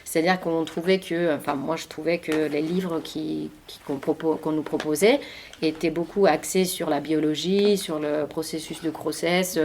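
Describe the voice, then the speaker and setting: Woman, Finnish accent, non-native speaker, very low pitch, halting delivery, faint background noise. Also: steady tone, a little mellow. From Finland. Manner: hesitant, many fillers and restarts. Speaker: interviewed author and journalist. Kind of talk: public talk at a library. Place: library meeting room.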